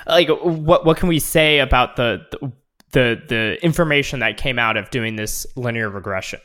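A man's voice: medium pitch, moderate pace, a bit bright. A man hosting an interview, asking questions in a loose, casual way with fillers. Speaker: host.

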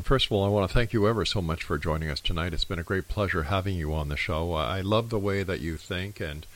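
A man's voice: low-pitched, fairly bright tone. A man hosting a radio talk show, thanking his guest and signing off in a friendly announcer manner.

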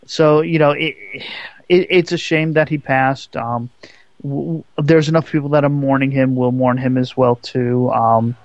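Halting male co-host, slightly dull sound. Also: medium pitch, steady tone. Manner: halting, stuttery, many ums and you-knows. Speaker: radio show co-host. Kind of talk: casual radio banter.

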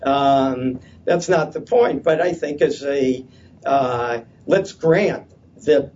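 A man speaking loudly at a conference panel, hesitant and halting with many fillers and restarts. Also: medium pitch, slight background noise. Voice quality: loud voice. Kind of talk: unscripted conference talk. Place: conference session room. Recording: distant, dull lo-fi conference audio.

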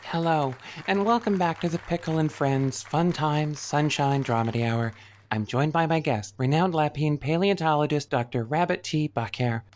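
A man's voice: a little high, normal speed, lightly acted. A man playing a polite, curious rabbit who hosts a radio interview show, with a presenter's delivery, in a radio play. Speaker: host.

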